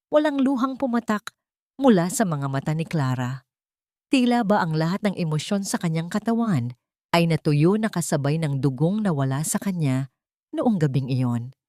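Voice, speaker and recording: A woman's voice: sits low, a little mellow, dry recording, studio quality. A woman reading a scripted, dramatic story as its narrator.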